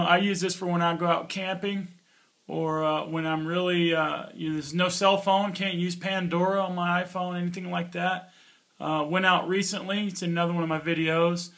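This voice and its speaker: Bright-voiced man doing a casual gear review. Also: high voice. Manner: informal, offhand. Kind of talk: casual hands-on product review.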